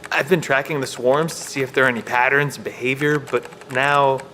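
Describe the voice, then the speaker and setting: Male actor as protective techie survivor, earnest, medium pitch, audio drama, slight background noise. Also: steady tone, fairly quick, pushed and bright, close to an everyday voice. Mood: puzzled.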